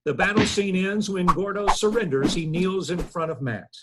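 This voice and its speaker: A man's voice: sits high, normal speed, noisy background. A man reading the stage directions at a table read, plain and matter-of-fact.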